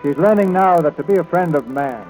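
A man's voice: fairly high pitch, lively intonation, brisk, a little mellow, rough recording. A man giving dramatic, scripted narration in 1940s radio style. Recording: old radio broadcast, music behind.

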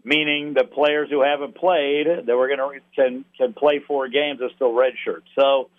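A man heard over a thin, phone-like radio line, giving sports analysis as a guest announcer. His pitch sits mid-range, and he talks haltingly, pausing in the middle of thoughts. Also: fairly even intonation. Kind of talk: sports interview, analytical talk.

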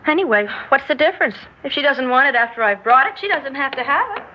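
A woman's voice: sits high, animated, fast talker. An actress in a 1960s radio drama playing an affectionate, playful young niece with a chatty, girlish manner. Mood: cheerful, breezy. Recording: muffled, hissy 1960s radio recording.

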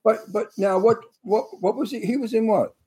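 A man's voice: sits high, a little mellow, breathy edge. A man in his eighties hosting a radio talk show, chatty and prone to repeating himself. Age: 80s. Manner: chatty, repeats himself, quick-tempered asides. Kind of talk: casual talk show banter.

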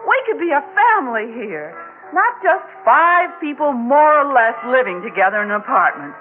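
An actress playing an earnest, gently scolding mother who longs for family togetherness, in a 1960s radio drama. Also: high voice, sing-song, moderate pace, soft background music. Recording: tinny old radio recording.

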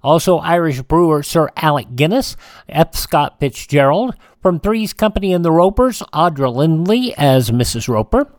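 Radio host, animated pitch, dry close sound, informal almanac-style reading with a halting delivery. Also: no room sound. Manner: halting reading with stumbles and self-corrections. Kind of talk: informal scripted narration of this-day-in-history items.

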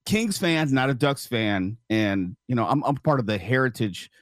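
Co-host, male, raised in LA, wry and relaxed, animated intonation, dry sound. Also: mid-range voice, moderate pace, no echo. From Los Angeles area. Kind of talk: casual conversation.

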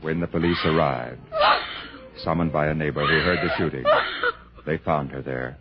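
A man's voice: extremely low pitch, normal speed, shouting, heavy background noise. A man giving dramatic scripted narration for a 1960 radio thriller. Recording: dull vintage radio recording. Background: music.

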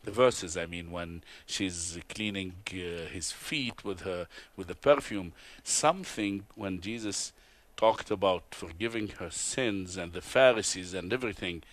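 A man from Tunisia with an Arabic accent, a radio guest telling his life story in an earnest, halting way with many pauses and restarts. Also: sits low, a bit bright. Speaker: guest, TV host and author. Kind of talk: interview testimony. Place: convention broadcast booth.

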